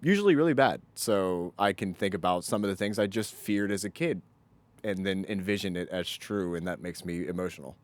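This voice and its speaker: A young adult man co-hosting a podcast, telling rambling, self-deprecating stories with casual swearing in an animated way. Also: low-pitched, brisk, no room sound. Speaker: podcast co-host. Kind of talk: casual banter and storytelling.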